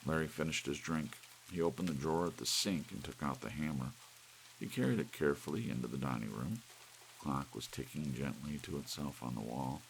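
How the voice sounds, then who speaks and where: A man reading a story as an ASMR audiobook narrator, with soft rain and a light hiss behind him. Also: very deep voice, gravelly, poor audio.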